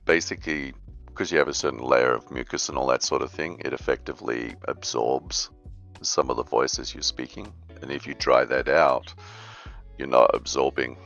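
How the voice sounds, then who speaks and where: A man chatting casually on a live stream, calmly explaining things in plain terms. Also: rumbling low, vocal fry, dull audio. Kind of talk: casual chat.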